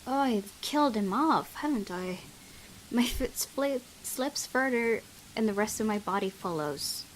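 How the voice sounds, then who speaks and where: A woman's voice: fairly high pitch, a little slow, a little hiss or hum, poor audio. A woman hosting a gaming video, reading a visual novel aloud dramatically and reacting with open emotion. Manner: expressive, exclaiming at the game.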